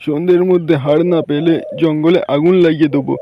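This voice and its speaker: A man's voice: a little high, little pitch movement, fast, lo-fi sound, background noise, lightly acted. A man voicing a stern, threatening palace guard in a cartoon. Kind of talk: cartoon voice acting.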